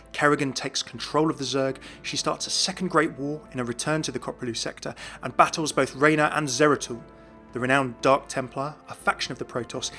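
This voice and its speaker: A man's voice: mid-range voice, sharp tone. A man hosting a video, giving scripted narration of video game lore.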